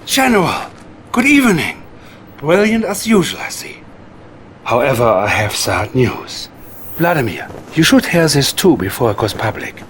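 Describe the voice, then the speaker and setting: An actor playing a coldly calm, scheming Soviet psychic advisor in a video game cutscene, with a Russian accent and measured, condescending menace. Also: male voice, medium pitch, a little hiss or hum. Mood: smooth, ominous.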